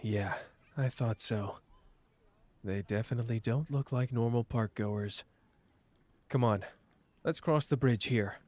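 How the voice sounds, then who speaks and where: A sharp, teasing young man, played by a male actor, in an intimate listener roleplay. He speaks close and low-key in a mid-pitched voice, dry and quick-witted, with a protective streak under the mockery. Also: lightly acted. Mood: urgent.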